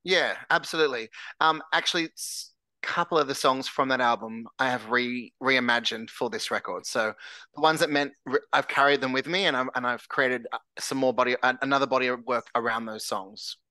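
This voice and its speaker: Candid Australian man, bright tense voice. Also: medium pitch, brisk, dry recording. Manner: earnest, candid, self-described larrikin. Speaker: singer-songwriter guest. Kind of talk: casual interview chat.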